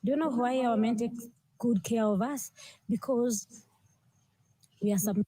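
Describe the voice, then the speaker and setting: A woman's voice: on the high side, unhurried, warm tone, breathy edge, rough recording. A woman with an African accent speaking earnestly, admonishing and pleading straight to her listeners. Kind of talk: direct address opinion monologue to camera.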